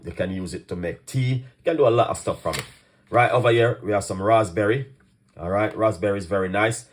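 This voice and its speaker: A man with a Jamaican accent hosting a casual cooking live stream, talking fast, laughing often and greeting viewers by where they watch from. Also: lowish voice. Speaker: cooking channel host.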